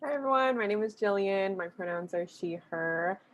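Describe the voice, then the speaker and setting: A woman advisor from Southern California introducing herself on a video call in a plain, steady way. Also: mid-range voice, moderate pace. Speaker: academic advisor. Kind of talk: webinar self-introduction.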